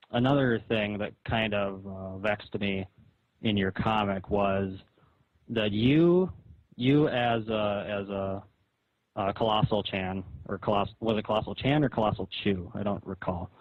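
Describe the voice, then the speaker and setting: A man arguing on a phone call in a halting, repetitive, reproachful way, as a comic artist. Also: on the low side. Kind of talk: argumentative phone conversation. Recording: dull roomy phone call recording.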